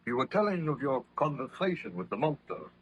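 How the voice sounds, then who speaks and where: A man's voice: mid-range voice, big pitch swings, slight background noise, close to an everyday voice. Man playing a courteous captain, dull old radio. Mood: calm, reassuring. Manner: polite, formal, measured. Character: courteous, reassuring ship's captain. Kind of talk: 1930s radio drama acting.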